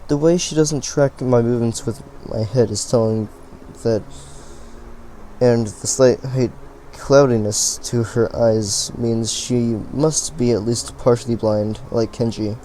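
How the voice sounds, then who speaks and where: A man's voice: mid-range voice, steady tone, measured pace, dark tone, a little hiss or hum, poor audio. A man reading a visual novel aloud, often stumbling and restarting words. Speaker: let's play reader.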